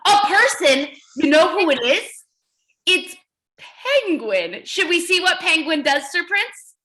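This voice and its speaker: A woman's voice: very high voice, expressive, measured pace, bright, forceful tone, rough recording. A woman teaching young children in an interactive read-aloud, encouraging them with praise and questions and repeating their answers back.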